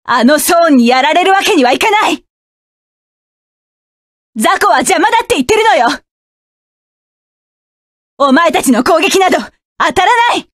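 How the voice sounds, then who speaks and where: A woman's voice: very high voice, animated, moderate pace, pauses often, fairly bright tone, no echo, clean studio sound. A woman voicing a proud, fierce young woman mech pilot who barks curt, commanding and scornful lines. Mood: tense, wary. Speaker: voice actress. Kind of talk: game voice clip collection.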